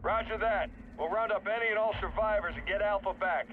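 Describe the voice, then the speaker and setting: An actor playing a gung-ho, gritty army sergeant in a military sci-fi game, with clipped, brash delivery. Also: male voice, sits high, fairly quick, phone-quality sound, a little hiss or hum, lightly acted. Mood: determined. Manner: clipped, brash battlefield barks.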